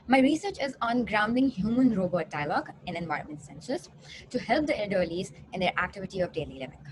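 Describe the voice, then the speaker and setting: A young woman, a PhD student, giving a rehearsed research presentation. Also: medium pitch. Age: young adult. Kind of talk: rehearsed conference talk. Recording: clearly noisy recording.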